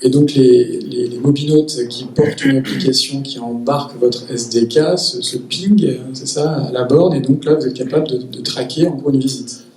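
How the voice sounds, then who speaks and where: A man's voice: medium pitch, steady tone, moderate pace, darkish tone. A man moderating a round-table discussion, posing and rephrasing questions. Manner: questioning, prompting speakers. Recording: echoey room, far, faint noise.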